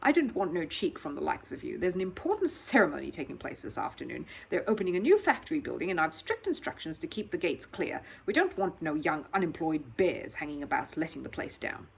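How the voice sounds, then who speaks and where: Woman as a grumpy, officious male gatekeeper, husky and animated. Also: low-pitched, brisk, few pauses, pitched below the performer's own voice, full character voice, poor audio. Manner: officious, scornful, colloquial.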